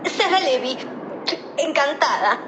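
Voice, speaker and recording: A woman's voice: sits high, lively intonation, takes it slowly, background noise. A woman playing a melodramatic, indignant young daughter in comic radio theatre, whiny and theatrical. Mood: earnest. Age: young adult.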